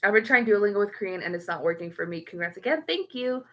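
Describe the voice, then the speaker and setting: A woman's voice: mid-range voice, expressive, quick pace, bright, forceful tone. A young woman streaming and casually answering chat, often trailing off while searching for words.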